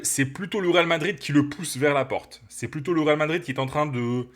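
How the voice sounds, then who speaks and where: A man with a cold hosting a football channel, talking to viewers in an animated, opinionated, casual way from his living room. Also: mid-range voice. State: has a cold. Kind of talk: casual opinion commentary to camera.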